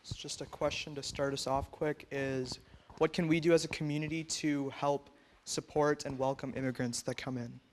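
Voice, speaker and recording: A man moderating a panel question-and-answer session, brisk and informal. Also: medium pitch, steady tone, slight background noise.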